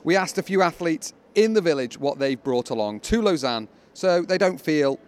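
A man's voice: a little high, expressive, normal speed, a little mellow, slight background noise. Upbeat man hosting a sports podcast. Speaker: podcast host. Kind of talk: scripted podcast presenting.

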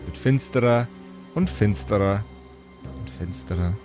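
A man narrating an improvised fantasy story as game master, with theatrical, relishing, drawn-out phrasing. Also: on the low side, music in the background.

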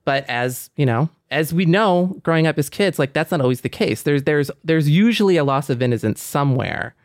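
A man's voice: fairly high pitch, expressive, a little fast, no echo, clean studio sound. A man speaking as a podcast panelist in casual discussion, explaining things and repeating phrases for emphasis.

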